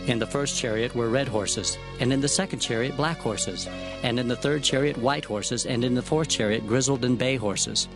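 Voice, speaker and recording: A man playing a biblical prophet who recounts his visions in an earnest, measured, declamatory scripture narration. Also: mid-range voice, music in the background, natural voice. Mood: awed.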